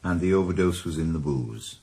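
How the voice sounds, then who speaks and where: A man's voice: low voice, fairly even intonation, a little fast, creaky, mellow. An actor with a Welsh accent playing a retired male ex-detective who probes with terse, dry questions in a 1980s radio drama. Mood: probing.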